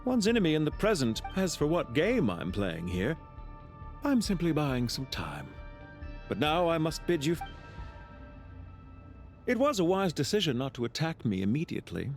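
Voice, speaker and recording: A man playing a calm, courteous, scheming villain officer in a video game, speaking in a polite, formal, measured and theatrical way. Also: on the high side, faint music behind. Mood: calm, challenging. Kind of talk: scripted video game voice acting.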